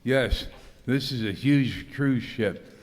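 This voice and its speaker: In a reverberant hall, a man plays a puzzled cruise passenger. He has a medium-pitched voice and a complaining manner, in an amateur radio skit. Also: takes it slowly, natural voice. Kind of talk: amateur live comedy horror radio skit. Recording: echoey hall, long reverb.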